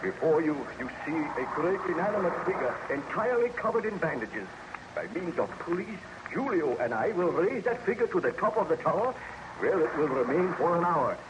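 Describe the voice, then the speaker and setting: An actor playing a proud, obsessed young scientist in a formal, theatrical old-time radio drama style, on a noisy recording. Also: male voice, on the high side, sing-song, brisk, highs cut off. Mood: grave storytelling.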